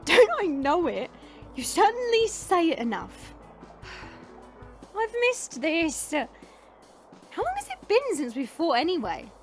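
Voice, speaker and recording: Woman acting very high-pitched, teasing monster girl. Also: huge pitch swings, slow pace, long pauses, faint music behind, full character voice. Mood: wistful, teasing. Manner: sardonic, drawling, teasing. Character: playful, teasing female monster, powerful and lonely. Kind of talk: audio roleplay acting.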